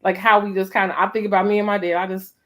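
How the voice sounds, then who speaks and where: A woman's voice: medium pitch, little pitch movement, racing, fairly bright tone. A middle-aged American woman hosting a casual, reflective conversation, speaking emphatically and often checking in with her listener. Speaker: host of a family storytime conversation.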